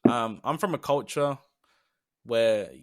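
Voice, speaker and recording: Young British man, podcast co-host, earnest and reflective, mid-pitched, given to long trailing sentences. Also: takes it slowly. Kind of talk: casual podcast chat.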